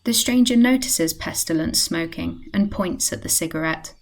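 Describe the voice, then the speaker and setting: A woman with a fairly low voice narrates a radio play. Her delivery is scripted, describing the action as a storyteller. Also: normal speed.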